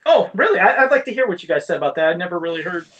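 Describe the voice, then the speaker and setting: A man's voice: sits high, very animated, rapid-fire, fairly bright tone, lo-fi sound. A man co-hosting a podcast, talking casually as an opinionated fan who swears freely and rants a little. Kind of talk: casual chat about movies.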